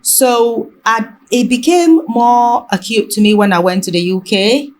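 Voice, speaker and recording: A woman talking reflectively in conversation, haltingly and often rephrasing mid-sentence. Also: fairly high pitch, darkish tone. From Ghana.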